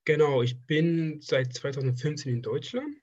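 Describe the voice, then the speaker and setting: A man's voice: on the high side, a little mellow, dry recording, dull audio. A young man in his twenties from Afghanistan whose first language is Persian, talking casually in an interview and often stopping to restart his sentences. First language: Persian (Dari). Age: 20s. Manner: halting, restarts phrases often. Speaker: interviewee, trained draftsman.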